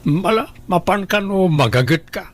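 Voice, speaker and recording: A man's voice: high-pitched, animated, moderate pace. A man playing a grumbling, opportunistic father of a grown daughter in an audio drama, matter-of-fact in manner. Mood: scheming. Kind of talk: Ilocano audio drama dialogue.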